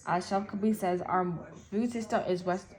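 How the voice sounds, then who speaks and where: A teenage girl with a mid-pitched young female voice. She speaks haltingly and hesitantly, stumbling over the odd word as she reads aloud or answers. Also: fairly even intonation, a little mellow. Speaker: guest reading an article aloud and answering questions. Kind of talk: halting read-aloud and shy interview answers.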